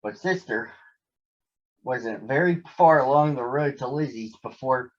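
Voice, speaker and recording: A man reads a picture book aloud for children in a husky voice. His delivery is expressive, with theatrical pauses. The recording sounds a bit dull and lo-fi. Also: mid-range voice. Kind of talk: read-aloud children's story narration.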